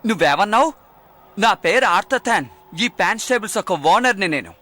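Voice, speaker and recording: A man voicing a cocky, playful sixteen-year-old boy in a dubbed animated series, with a casual, protesting manner. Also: high voice, brisk. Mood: annoyed, defiant. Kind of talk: animated series dub acting.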